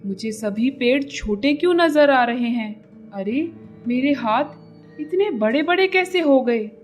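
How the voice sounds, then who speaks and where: A woman voicing a male crow turned hungry demon in a cartoon moral story, speaking low and heavily in a slow, brooding way. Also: animated, soft background music. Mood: astonished, alarmed.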